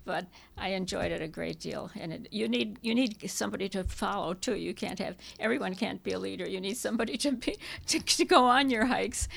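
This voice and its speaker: Older woman with a gravelly, creaky voice. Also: mid-range voice. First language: Czech. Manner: halting, reminiscing, many restarts. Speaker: interviewee, hiking club member. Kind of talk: oral history interview.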